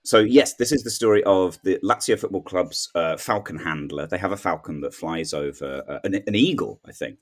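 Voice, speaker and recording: A man chatting casually as a comedy panel guest, telling a rambling story and acting out imagined dialogue. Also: low voice. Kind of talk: casual comic news chat.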